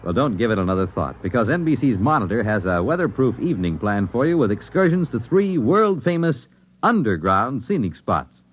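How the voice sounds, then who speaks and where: A man's voice: medium pitch, big pitch swings, fairly quick, slight background noise. An American man announcing and narrating old-time 1950s radio in a theatrical, emphatic scripted style. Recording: muffled vintage radio recording, music behind.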